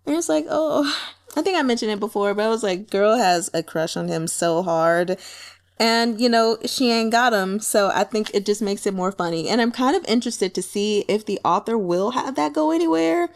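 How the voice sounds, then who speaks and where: A woman giving casual, animated book commentary as a channel host, wry and colloquial, often talking as if to the characters. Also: mid-range voice, moderate pace.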